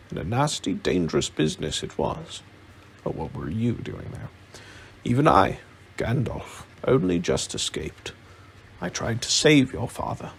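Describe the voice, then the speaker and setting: A man playing a grave, proud old wizard who speaks in a slow, admonishing way for a storybook. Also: mid-range voice, creaky, slight background noise. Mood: grim, guarded.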